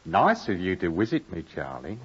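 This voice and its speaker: An actor playing a shrewd, blunt baker who drives a bargain in a dry, matter-of-fact way, in old-time radio drama style. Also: male voice, low-pitched, huge pitch swings, moderate pace, husky, full character voice. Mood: pressing. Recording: muffled vintage radio recording.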